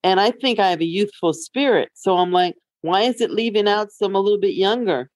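A woman's voice: mid-range voice, brisk, a little mellow. A middle-aged woman co-hosting a podcast, talking casually in a wry, matter-of-fact way. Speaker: podcast co-host. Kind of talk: casual conversational chat.

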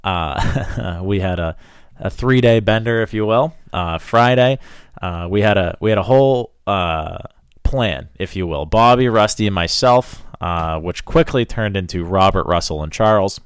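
A man's voice: sits low, measured pace, few pauses, clean tone, no echo, clean studio sound. A young man co-hosting a podcast in a laid-back, wry way with frequent fillers. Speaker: podcast co-host. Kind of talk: casual podcast chat.

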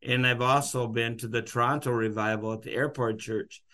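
A man's voice: mid-range voice, fairly even intonation, normal speed, bright, forceful tone. A man hosting a podcast interview, talking in a loose, rambling, unscripted way. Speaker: podcast host. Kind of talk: casual interview chat.

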